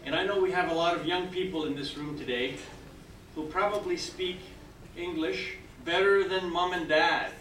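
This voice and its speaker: A man's voice: a little high, fairly even intonation, moderate pace, fairly bright tone. An older man from Canada whose first language is French, delivering an encouraging speech to a room full of new citizens. He keeps checking in with his listeners. The sound is roomy and picked up from a distance, with noise behind him. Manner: encouraging, repeatedly checks in with "okay?". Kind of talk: ceremonial speech. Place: large hall with an audience. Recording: echoey hall, mic far off, noisy.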